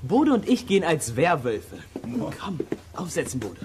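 A man's voice: fairly high pitch, wildly swooping pitch, normal speed, background noise. An actor playing a cheeky, joking boy detective in a children's radio play. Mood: playful.

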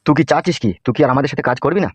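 A man's voice: fairly high pitch, racing, slight background noise, close to an everyday voice. A man playing a bossy, scheming, foul-mouthed gangster politician in a comedy cartoon. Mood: falsely kind. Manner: bossy, slangy, mock-sweet when coaxing.

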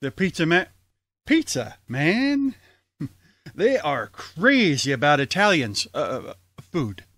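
Lively, higher-pitched male host. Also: slow pace, no room sound, soft background music. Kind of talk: scripted show announcing and comic banter.

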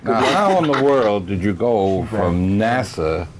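A man's voice: lowish voice, lively intonation, slow, a crowd in the background. An older man hosting a casual studio panel, joking wryly with his guests. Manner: joking, wry. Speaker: panel show host. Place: studio set, indoors.

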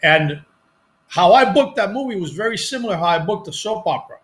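A man in his forties with a Cuban accent, telling stories about his acting career in a casual video-call interview, animated and often restarting his sentences. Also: high voice, a little fast. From Cuban family. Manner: animated, acts out quoted voices, restarts sentences. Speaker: actor, interview guest. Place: home room, video call. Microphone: headset.

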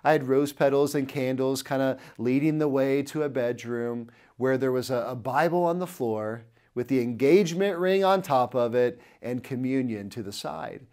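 A man's voice: medium pitch, moderate pace, few pauses, mellow. A man in his thirties preaching a sermon to camera, earnestly telling a personal story while gesturing, recorded in an indoor studio on a lapel mic.

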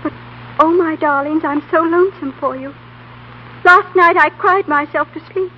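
A woman's voice: high voice, moderate pace, natural voice. An actress playing a sentimental, fluttery middle-aged mother in a 1930s radio play. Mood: wistful. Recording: muffled, noisy 1930s radio broadcast.

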